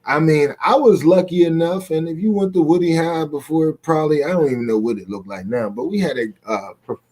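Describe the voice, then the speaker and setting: A man's voice: fairly high pitch, brisk, darkish tone. A man in a casual livestream discussion, talking in an opinionated, colloquial and emphatic way, repeating phrases to press his points. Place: remote video call.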